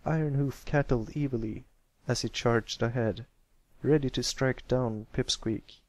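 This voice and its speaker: A man's voice: mid-range voice, measured pace, darkish tone, no room sound. A man reading a story aloud as an amateur narrator, in a scripted storybook style. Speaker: amateur fan fiction reader.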